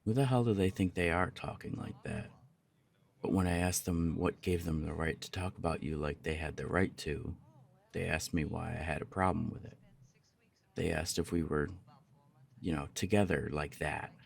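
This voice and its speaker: A very low, gravelly voice for a young punk roommate , acted by a man, jealous and easily flustered. The delivery is intimate roleplay audio, halting and confessional, with a hot temper under it and plenty of cursing. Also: steady tone. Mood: quiet, confiding. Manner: confessional, halting, swearing, emotionally worked up. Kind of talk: ASMR roleplay audio drama, one side of a dialogue.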